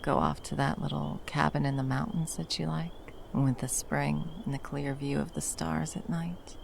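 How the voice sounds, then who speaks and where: A woman playing a battle-worn monster hunter, gruff but tenderly protective, in an intimate ASMR roleplay with soft teasing and drops into whispers. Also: low-pitched, flat delivery, moderate pace, a little hiss or hum. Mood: caring, relaxed.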